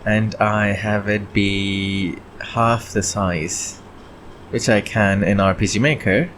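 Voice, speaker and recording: Slow-paced man thinking aloud, faint background noise. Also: on the low side, steady tone, a bit bright. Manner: halting, often clearing throat. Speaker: indie game developer hosting a devlog. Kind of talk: casual chat, thinking aloud while working.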